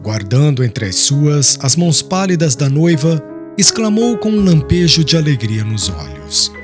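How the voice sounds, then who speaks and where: A man's voice: fairly high pitch, sing-song, unhurried, over music. A man narrating a literary audiobook in a scripted storytelling style.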